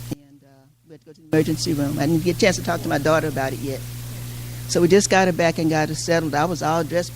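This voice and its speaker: An older woman with a gravelly creak in her voice moderates a panel in an informal way. She speaks conversationally, pausing and repeating phrases. A faint hum sits behind her. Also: low-pitched. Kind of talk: informal public address. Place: community hall at a mosque. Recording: faint background noise and hum.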